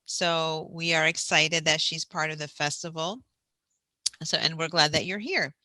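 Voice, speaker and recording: A woman's voice: deep voice, fairly even intonation, measured pace, bright, forceful tone, no room sound. A woman hosting a festival session, giving friendly announcements and reading out a guest's prepared introduction. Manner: friendly, informative, reads a prepared bio. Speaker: festival host.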